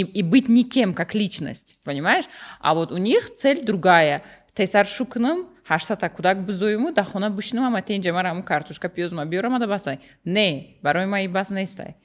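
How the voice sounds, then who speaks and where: A young woman from Tajikistan talks as an interview guest. She speaks in a mid-pitched voice, plainly and outspokenly. She switches between languages mid-sentence. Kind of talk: casual interview chat.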